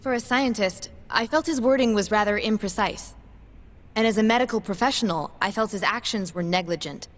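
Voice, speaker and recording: A woman's voice: medium pitch, fairly quick, natural voice. A woman playing an earnest, guarded young nurse in an English-dubbed game drama, speaking precisely and formally. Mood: formal, firm.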